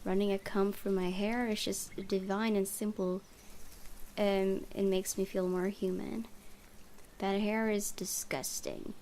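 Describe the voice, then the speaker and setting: A woman reading visual novel narration aloud for a let's play, with expressive, theatrical storytelling. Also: medium pitch, measured pace, slight background noise. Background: music.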